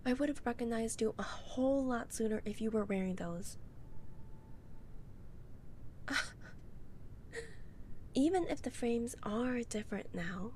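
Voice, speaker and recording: A woman playing a college-age goth friend in an ASMR roleplay, speaking softly, often in a breathy near-whisper, with playful, dry teasing. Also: on the high side, normal speed, slight background noise, close to an everyday voice. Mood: happy, teasing.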